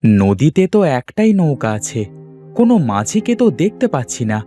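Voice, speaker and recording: A man's voice: mid-range voice, big pitch swings, normal speed, dark tone, soft background music, natural voice. Man voicing a brave, earnest young son. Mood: worried, resolute. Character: brave, determined young son searching for his lost father. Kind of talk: Bengali cartoon story dub, dramatic.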